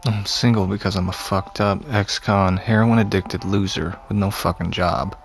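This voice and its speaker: An actor playing a self-loathing, heroin-addicted young ex-con who drinks, in naturalistic audio fiction, drowsy, slurred and bitterly sardonic. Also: male voice, low-pitched, steady tone, normal speed.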